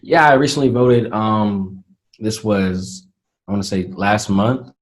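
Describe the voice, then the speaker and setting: An eighteen-year-old man, answering interview questions in an earnest, measured way with many fillers. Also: fairly low pitch. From Atlanta, Georgia, USA. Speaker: interview guest, young entrepreneur. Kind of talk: casual interview talk.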